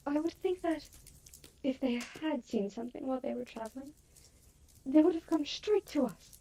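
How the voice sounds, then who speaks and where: A woman playing a gentle, reasonable soul in an audio roleplay, speaking in a mild, reassuring way with a soft, husky voice. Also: high-pitched, expressive, normal speed, rough recording.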